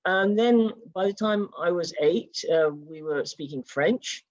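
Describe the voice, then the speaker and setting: A British-born panelist telling a personal story in a panel discussion and acting out the quoted exchanges. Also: male voice, high-pitched, a little slow, no room sound. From United Kingdom. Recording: dull, dry sound.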